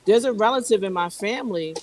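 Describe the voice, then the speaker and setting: Woman host, mid-pitched, emphatic, circles back on her points. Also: fast, a little mellow, slight background noise, low-quality recording. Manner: emphatic, repeats herself, restarts often. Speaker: podcast host. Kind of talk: casual chat.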